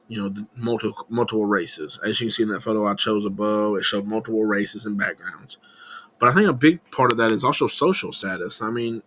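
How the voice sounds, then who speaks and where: A man's voice: fairly low pitch, fairly bright tone. A young man from West Tennessee with a Southern twang, rambling informally through a class project with plenty of "um"s and restarts. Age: young adult. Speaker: student presenting a class project. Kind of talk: casual recorded class presentation.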